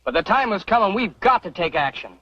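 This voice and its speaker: An earnest young frontier suitor in a radio western. The voice is high and swings widely, folksy and protective. It comes through a muffled old recording. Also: male voice, very animated, brisk, bright, forceful tone, heavily acted. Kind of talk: old-time western radio drama acting.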